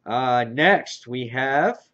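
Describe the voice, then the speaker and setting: A muffled, lo-fi recording of a man with a mid-pitched voice. He talks off the cuff as an enthusiastic fan, addressing his viewers directly in a casual review. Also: lively intonation, extremely slow, a bit bright. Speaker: comic book reviewer, channel host. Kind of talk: casual unscripted haul review to camera. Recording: dull, lo-fi home recording.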